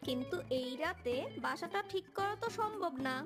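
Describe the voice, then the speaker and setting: A woman's voice: very high-pitched, animated, normal speed, loud music behind the voice. A woman narrating a scripted children's cartoon story.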